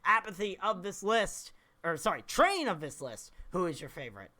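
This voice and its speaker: A man hosts a casual esports podcast with a hoarse voice that is starting to give out. His voice sits a little high and bright. He reads lists of names and trades light jokes with his co-hosts. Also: big pitch swings, moderate pace. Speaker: podcast host. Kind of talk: casual esports awards commentary.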